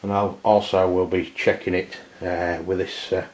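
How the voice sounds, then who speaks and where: Low, monotone Englishman, halting delivery. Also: lo-fi sound. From England. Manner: hesitant, halting, plain-spoken. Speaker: amateur radio reviewer. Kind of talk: unscripted product review demonstration.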